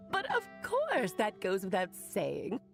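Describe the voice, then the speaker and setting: A woman's voice: mid-range voice, gravelly, heavily acted. A woman playing an elegant, playful, scheming witch in a video game, speaking in a theatrical, refined, teasing manner. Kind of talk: video game character acting.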